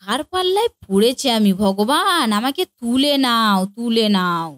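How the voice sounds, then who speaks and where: A high-pitched, exasperated mother-in-law acted by a woman in a comic cartoon. She is middle-aged and given to scolding, and the recording is close and dry. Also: expressive, a little slow, a bit bright, no echo.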